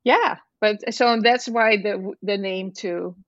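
A middle-aged woman from the Netherlands speaks slowly in English, her second language, with a clear Dutch accent. She pauses often and restarts her sentences. The sound is dry and slightly dull. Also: mid-range voice, dry recording. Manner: halting, many fillers and restarts. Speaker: author guest. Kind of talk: casual interview chat.

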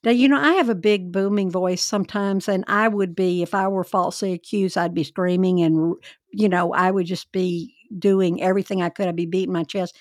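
A woman hosting a true crime podcast, telling the case in a chatty, animated, wry way. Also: on the low side, fairly quick, no echo, clean studio sound. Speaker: podcast host.

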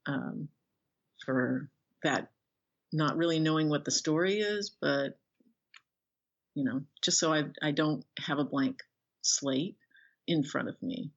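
A woman's voice: sits low, slow, long pauses, breathy edge. A woman speaking casually as a guest author in an interview, thinking aloud in a rambling, self-effacing way. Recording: dull lo-fi call audio.

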